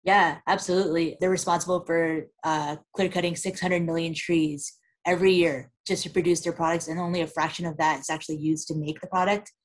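A woman with a low voice speaks as a guest expert on a podcast. Her delivery stays even, with little rise and fall, and she explains steadily with a few fillers. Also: fairly quick. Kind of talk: casual podcast conversation.

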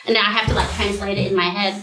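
A woman's voice: medium pitch, steady tone, rapid-fire, bright, forceful tone, a little hiss or hum. Aussie lady hosting a podcast, chatty and a bit self-mocking, roomy echo. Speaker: podcast host. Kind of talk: casual chat.

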